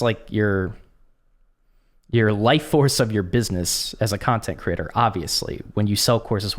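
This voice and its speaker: A man who is coming down with a cold, talking casually and haltingly to camera, with frequent restarts and ums. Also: on the low side. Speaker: content creator.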